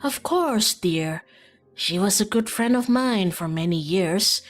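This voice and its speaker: A gracious old village wise woman, as a female streamer plays her, gentle and folksy in a visual novel. She speaks at a middling pitch over background music. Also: expressive, slow pace. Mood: fond, sympathetic. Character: kindly old small-town witchy woman, hospitable neighbour.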